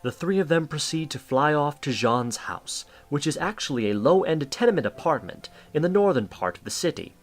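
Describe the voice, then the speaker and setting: A man's voice: on the high side, lively intonation, brisk, hardly any pauses, soft background music. A man reading scripted story narration as the narrator of an audio drama.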